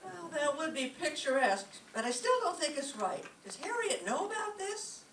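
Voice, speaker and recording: A woman playing a wry, sardonic high school teacher in an old-time radio comedy, with wisecracking delivery. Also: sing-song, moderate pace, a bit bright. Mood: amused, wry. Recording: echoey stage, distant mic, faint noise.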